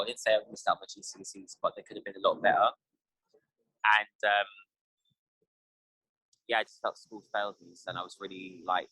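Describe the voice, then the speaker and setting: Low-voiced man in his thirties. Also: sharp tone, slightly rough, dry recording, low-quality recording. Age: 30s. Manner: reflective, with many fillers and hedges. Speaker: interview guest. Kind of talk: casual interview talk.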